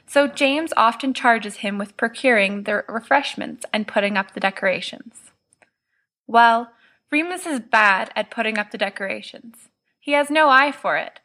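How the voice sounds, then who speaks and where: Bright, higher-voiced woman narrating a story. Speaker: audiobook reader.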